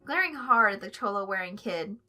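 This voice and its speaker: A woman reading a story aloud as an expressive narrator, following a script. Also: medium pitch, a little fast, faint music behind. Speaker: narrator reading fan fiction.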